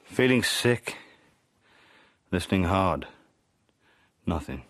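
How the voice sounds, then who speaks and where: An actor playing a weary, wry captive spy, narrating in an understated, deadpan way for a radio drama. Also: male voice, low-pitched, takes it slowly, dry recording, exaggerated voice. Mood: bleak, detached. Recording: radio drama with faint music.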